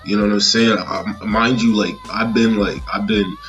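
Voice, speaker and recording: Male, 30, casual personal vlog, halting and rambling delivery, lowish voice, dull lo-fi sound. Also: fairly even intonation, fairly bright tone, faint music behind. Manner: rambling, halting, often checking in with "you know what I'm saying". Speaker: vlogger. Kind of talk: casual personal monologue to viewers.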